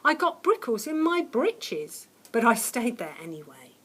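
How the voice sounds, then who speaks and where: A woman reading a children's picture book aloud as a teacher would, expressively and dramatically, with hushed suspense and swells of emphasis. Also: a little high, sing-song, unhurried, slightly rough. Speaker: primary school teacher reading a story to children.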